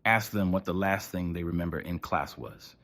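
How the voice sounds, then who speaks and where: Low-pitched man reading an ominous official announcement. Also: a bit bright. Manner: formal, measured, ominous. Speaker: narrator of an analog horror broadcast. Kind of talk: scripted horror narration as an official emergency announcement.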